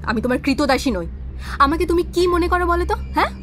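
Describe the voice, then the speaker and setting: A woman's voice: very high voice, expressive, a little fast, a bit bright, a little hiss or hum. A woman acting a defiant, distressed wife in an audio drama, indignant and pleading with her husband. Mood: defiant anger.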